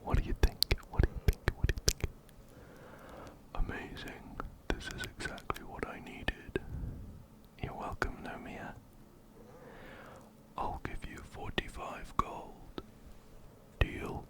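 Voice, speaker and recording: Male ASMR gamer. Close-mic breathy whisper throughout, slow and gentle, reading on-screen text and commenting softly. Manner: gentle, unhurried, repeats words softly. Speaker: ASMR game streamer. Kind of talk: whispered ASMR game playthrough and read-aloud. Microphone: close-up binaural microphone, ear to ear.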